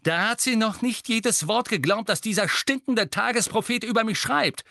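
A man's voice: high-pitched, sing-song, fairly quick, a bit bright, no echo, studio quality, pitched above the performer's own voice, exaggerated voice. A man voicing an angry, defiant teenage boy in an audiobook, with biting, sarcastic retorts. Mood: bitter. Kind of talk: audiobook character voice.

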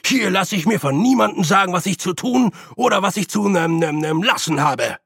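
A man voicing a blustering older male archchancellor of wizards, booming and indignant, stumbling over his fillers. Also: expressive, moderate pace, vocal fry, fairly bright tone, slightly rough, dry recording, clean studio sound, exaggerated voice.

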